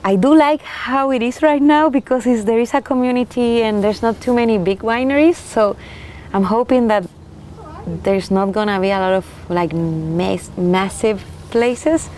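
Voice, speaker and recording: A young Chilean woman, a winemaker, speaking unhurriedly and haltingly in an oral history interview with soft laughs. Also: a little high, a little hiss or hum. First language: Spanish.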